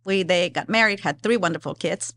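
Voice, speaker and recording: A woman in her forties, originally from Ecuador, talks as a podcast guest in a relaxed, conversational way. Her voice sits fairly low for a woman. She laughs easily and fills her sentences with little "um"s and "uh"s. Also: brisk. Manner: laughs easily, chatty with frequent fillers. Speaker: guest, philanthropy executive. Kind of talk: casual interview conversation.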